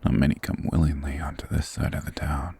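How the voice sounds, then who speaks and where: Casting: male actor for an eerie, courteous woodland creature in fantasy ASMR, extremely low, breathy and whispering, with formal, softly persuasive phrasing. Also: level tone, brisk, exaggerated voice. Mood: softly probing. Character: mysterious soft-spoken male monster hermit of the woods, courteous, eerie.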